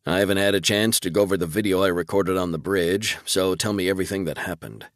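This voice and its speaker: A man's voice: low-pitched, fast talker, no room sound. A man voicing an eager, bold young woman who works as a war reporter, in an audiobook. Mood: eager, pushy. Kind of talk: audiobook character dialogue.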